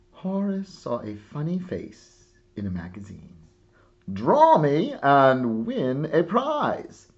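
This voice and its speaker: A man reading a children's picture book aloud expressively, with dramatic pauses and hushed phrase endings. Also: lowish voice, highs cut off. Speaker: storybook reader. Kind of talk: scripted narration, picture book read-aloud.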